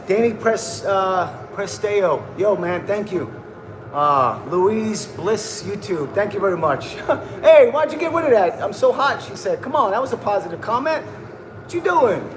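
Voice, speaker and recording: A man's voice: high-pitched, sing-song, unhurried, background noise. A man hosting a casual livestream, talking in a hyped, slangy way and calling viewers bro and man. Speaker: livestream host. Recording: echoey room with music behind.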